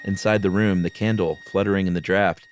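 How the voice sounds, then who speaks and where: A man's voice: deep voice, fairly even intonation, brisk. A man hosting and reading a horror story dramatically, with casual asides. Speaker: podcast host and story reader. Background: music.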